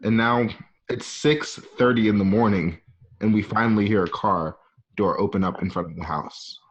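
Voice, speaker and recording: Male narrator for a script reading: lowish pitch, informal, halting delivery, lo-fi home sound. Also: fairly even intonation. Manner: halting, casual, present-tense scene description. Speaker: narrator reading stage directions. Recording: dull, lo-fi home recording.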